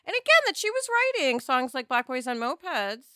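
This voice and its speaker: Animated, bright-voiced American woman. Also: high voice, fairly quick, smooth, dry recording, clean studio sound. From New York City. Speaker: podcast panelist. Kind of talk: casual conversational discussion.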